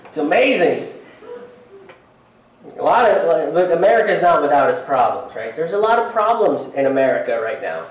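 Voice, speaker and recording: A young man from India doing live stand-up comedy on a theater stage, ranting in a sarcastic, politely angry way with cursing and quick asides. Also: a little high. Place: theater stage before a laughing audience. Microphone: handheld mic, heard from far off in the hall. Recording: very echoey theater sound from a distance.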